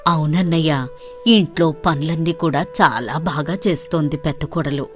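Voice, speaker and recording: A woman voicing a gentle widowed mother in an animated story, mildly reproachful. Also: low voice, normal speed, soft background music, pitched below the performer's own voice, close to an everyday voice.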